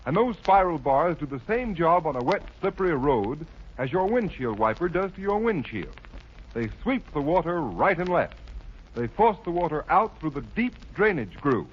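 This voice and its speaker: An announcer, a man, delivering an enthusiastic, declamatory sales pitch in a 1930s radio advertisement. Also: big pitch swings, moderate pace, a little hiss or hum. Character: enthusiastic, sincere male sponsor announcer selling tires. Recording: muffled vintage radio broadcast.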